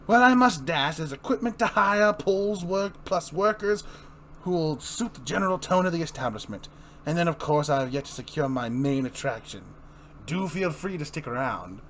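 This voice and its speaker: Man playing theatrical clown villain, florid and mockingly courteous, comic-book reading, noisy recording. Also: fairly high pitch. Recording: clearly noisy room recording.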